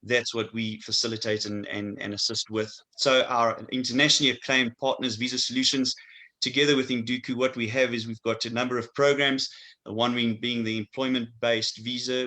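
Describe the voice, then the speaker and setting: Upbeat man, bright voice. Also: mid-range voice. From South Africa. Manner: upbeat, salesy. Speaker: co-host of an immigration webinar. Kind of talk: scripted-sounding promotional intro.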